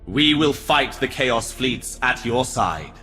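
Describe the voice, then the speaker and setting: A man's voice: mid-range voice, animated, takes it slowly, pushed and bright. A stately alien leader in a game cutscene. His speech is formal, proud and courteous. It rings with long reverb, with music behind. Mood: solemn, gracious. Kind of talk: video game cutscene acting.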